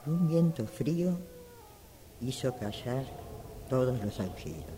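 Very mellow, lively, slow man narrating in reverb. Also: medium pitch, a little hiss or hum. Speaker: radio drama workshop participant. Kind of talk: dramatic scripted narration exercise. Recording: long reverberant hall.

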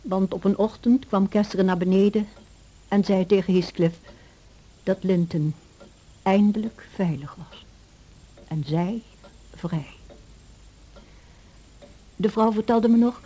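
An actress playing a sober, upright housekeeper telling her tale in a radio drama, with a husky voice. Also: medium pitch, measured pace, long pauses, mellow, poor audio. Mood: grave, measured.

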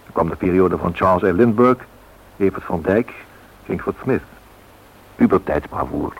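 An actor playing a grave, reflective male narrator in a radio play, with musing, deliberate phrasing. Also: low-pitched, animated, creaky, breathy edge, full character voice. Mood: intense, musing. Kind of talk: dramatic scripted narration. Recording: dull old radio recording.

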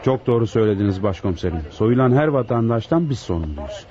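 A man's voice: on the low side, animated, fast talker, natural voice. A male actor playing a deferential, indignant deputy inspector in a radio play, speaking emphatically and respectfully. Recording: muffled, noisy lo-fi radio drama recording.

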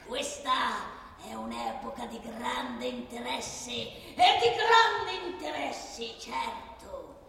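Casting: woman as gloating crooked politician, radio drama, sharp creaky voice, oily and mocking, heard distant in a reverberant hall. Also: high voice, heavily acted. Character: cynical, gloating female politician making shifting, hollow promises. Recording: very echoey hall, distant, faint noise.

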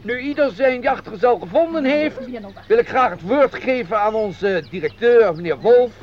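An actor playing a jolly, ceremonious master of ceremonies announcing a company outing in a Dutch radio play. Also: male voice, extremely high pitch, animated, normal speed. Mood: jovial, announcing. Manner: chirpy, ceremonious announcing with wordplay. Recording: dull lo-fi radio recording, loud noise.

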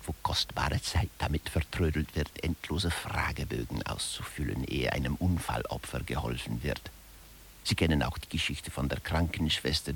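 A man playing a management theorist who lectures wryly and didactically in a radio play, with a gravelly, husky voice. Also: rumbling low, a bit bright, a little hiss or hum, exaggerated voice. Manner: dry, didactic, addresses listeners directly.

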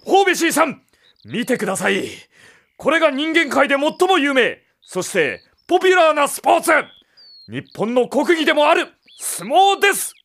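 An actor playing a hot-headed, desperate young man in an animated audio drama. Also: high-pitched, moderate pace, heavily acted. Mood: insistent.

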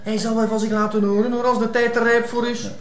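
A man's voice: extremely high pitch, level tone, quick pace. A man acting a mock-genial, patronising ringleader with a Flemish accent in a TV crime drama, recorded in an echoey room. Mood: smug, genial.

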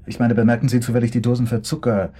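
Actor as a mellow, husky, courteous lawyer. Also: male voice, medium pitch, little pitch movement, quick pace, close to an everyday voice. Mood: quietly insistent. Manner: hesitant, circumspect, courteous. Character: polite, probing, persistent male lawyer questioning a witness. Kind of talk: German radio drama acting.

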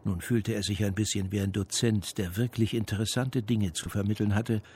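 A man narrating a radio crime drama in measured, long flowing sentences, with a slightly gravelly voice. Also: on the low side, fairly even intonation, a little mellow, a little hiss or hum, natural voice. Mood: composed, wry. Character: composed narrator of a crime story. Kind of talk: scripted third-person radio drama narration. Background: music.

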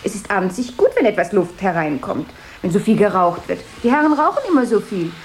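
A woman's voice: medium pitch, lively intonation, a little fast, lightly acted. A woman playing a chatty, flighty, deferential secretary in a radio play, rambling on and eager to placate. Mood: chatty, placating. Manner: rambling, babbling small talk, eager to placate. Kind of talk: 1970s German radio play acting. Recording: noisy 1970s radio drama recording.

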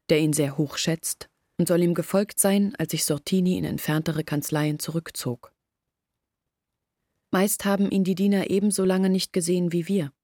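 A woman's voice: lowish voice, a little mellow, dry recording, clean studio sound, natural voice. An actress playing Olga, an earnest young village woman, telling her story in a radio play. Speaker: lead character in radio play. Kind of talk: radio drama acting.

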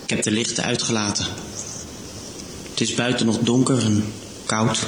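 A man's voice: fairly low pitch, little pitch movement, moderate pace. A man delivering a brooding first-person monologue in short, terse sentences, like an audio drama. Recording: roomy echo with clear noise.

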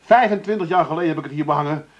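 An actor playing a harried, grumbling middle-aged working-class husband in a comic radio play. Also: male voice, fairly high pitch, rapid-fire. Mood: stubborn, fed up. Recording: slightly dull radio play recording.

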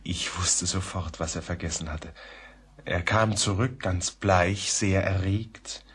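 Deep, slow, reflective gentleman narrator. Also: steady tone, husky, heavily acted. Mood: reflective, sombre. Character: grave, reflective gentleman narrator recalling events. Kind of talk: German radio play narration. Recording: faint background noise.

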